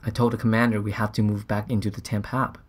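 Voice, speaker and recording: An actor playing an earnest, shaken scientist on a Mars mission, recounting events in a halting, reflective way as in a documentary interview. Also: male voice, fairly low pitch, level tone. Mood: earnest, urgent.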